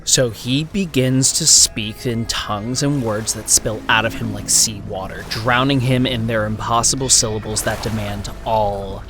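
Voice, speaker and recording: A man narrating a horror story in a dramatic, deliberate and suspenseful way, sometimes hushed. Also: fairly low pitch, steady tone. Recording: music behind the voice. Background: music.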